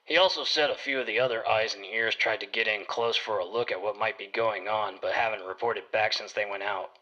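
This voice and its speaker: A man's voice: lowish voice, a little fast, sharp tone, dull audio. A man narrating a scripted first-person detective story in a hard-boiled storytelling manner. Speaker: narrator playing a private detective.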